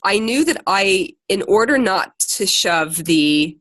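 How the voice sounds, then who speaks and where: A woman's voice: medium pitch, animated, takes it slowly, a bit bright, dry recording. An American woman with a chatty, informal, self-deprecating way of talking, giving a casual motivational talk to a group on a conference call. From Ohio, United States. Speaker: secondary teacher and podcaster leading a group call.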